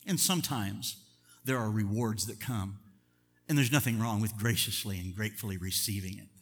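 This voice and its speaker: A middle-aged man, a pastor, preaching a sermon in an earnest, measured way, slowing down to stress key words. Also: fairly low pitch, a bit bright, breathy edge. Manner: earnest, measured preaching with deliberate slow emphasis. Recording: long reverb of a large hall.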